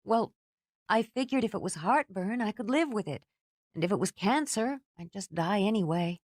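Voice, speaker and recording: A woman's voice: mid-range voice, animated, normal speed, dry recording, pitched above the performer's own voice, close to an everyday voice. A woman voicing a sick, sheepish young woman who answers meekly and apologizes. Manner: apologetic, meek.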